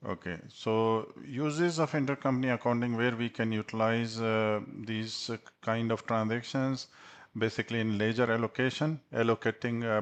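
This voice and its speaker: A man presenting a software tutorial as an instructor, speaking haltingly with frequent hesitations. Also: on the low side. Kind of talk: tutorial presentation over slides.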